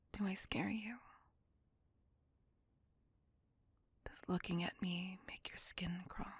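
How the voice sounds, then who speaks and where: A woman playing a centuries-old, possessive and tormented female vampire in an intimate ASMR roleplay, speaking in a breathy whisper with formal, archaic, tenderly menacing delivery. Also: on the low side, no echo, full character voice.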